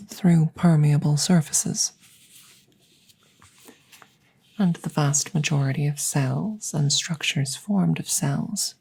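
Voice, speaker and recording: Alien scientist, played by a woman: low, breathy near-whisper, very unhurried, formal and detached, close-miked ASMR roleplay. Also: dark tone, heavily acted. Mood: clinical, explanatory. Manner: slow, formal, precise, detached phrasing. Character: curious, clinical alien scientist tending a rescued human. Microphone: close microphone, ASMR style.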